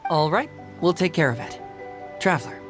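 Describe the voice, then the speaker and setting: An actor playing a young male detective in a video game, quick-witted, easygoing and teasing. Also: fairly high pitch, animated, over music, natural voice. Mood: easygoing, playful. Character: young male detective, sharp and playfully confident. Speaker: detective character.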